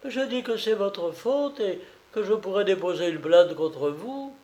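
A man reading a storybook aloud, voicing a cheeky male traveller who protests and lies in excitable, pleading tones. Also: high-pitched, measured pace, voice pushed up. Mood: defensive. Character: cheeky cornered male traveller, brazen liar.

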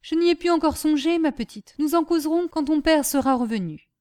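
A fretful lady of the house in a storybook , put on by a woman narrator. Her voice sits very high, and she speaks in a dramatic, plaintive, high-strung way. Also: a little fast, darkish tone, lightly acted. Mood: worried, kindly.

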